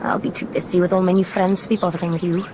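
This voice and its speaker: A woman's voice: fairly low pitch, level tone, very fast, close to an everyday voice. An actress playing a cheeky, teasing Welsh teenage village girl in a naturalistic radio drama. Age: young adult. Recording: noisy outdoor ambience.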